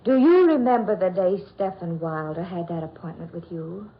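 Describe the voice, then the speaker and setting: An actress playing a cool, taunting captive heroine with deliberate, dramatic 1940s radio drama delivery. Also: female voice, lowish voice, close to an everyday voice. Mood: cool, pointed. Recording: muffled old radio recording.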